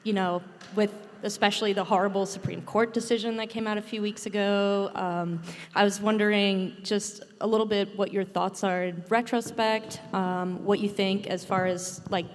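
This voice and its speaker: Woman asking from an echoey, noisy hall. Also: mid-range voice. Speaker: audience questioner. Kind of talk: question from the audience. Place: large hall, audience microphone at a convention panel.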